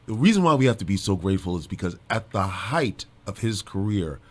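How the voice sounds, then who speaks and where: A man's voice: fairly low pitch, big pitch swings, fairly quick. A man talking earnestly and emphatically in a casual interview. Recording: background chatter and faint noise.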